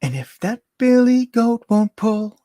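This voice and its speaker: A man's voice: very high voice, sing-song, unhurried, mellow, no echo, pitched above the performer's own voice, exaggerated voice. Storybook figure: an eerie young mother crooning a lullaby, put on by a male narrator, dry close sound. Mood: sing-song crooning. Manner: sing-song crooning.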